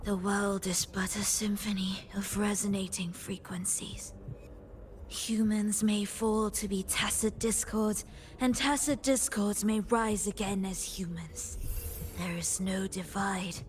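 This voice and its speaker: An actress playing a grieving, obsessive woman conductor in a game, breathy, hushed and poetic. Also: medium pitch, fairly even intonation, a little hiss or hum, natural voice. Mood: solemn resolve. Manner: slow, poetic, solemn.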